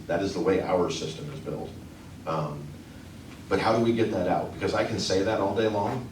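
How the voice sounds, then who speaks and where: A man with a very deep voice answers questions earnestly, often stopping to restart his sentences. He is heard from a distance in an echoey meeting room, over a steady background noise. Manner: earnest, halting, many restarts. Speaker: union representative.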